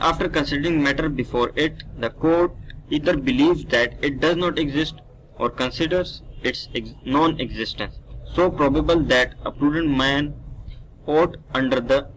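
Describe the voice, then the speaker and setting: A man with a Pakistani accent teaching a law lesson, reading text aloud and explaining it in a deliberate, didactic way. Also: medium pitch, a bit bright, a little hiss or hum, low-quality recording.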